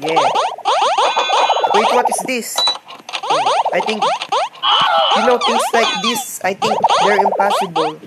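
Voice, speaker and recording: A woman from the Philippines with a Filipino accent, casually commentating a game she plays, excitable and swearing and counting aloud as she goes. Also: very high voice, slow, pauses often. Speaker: gaming video host. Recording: loud background noise.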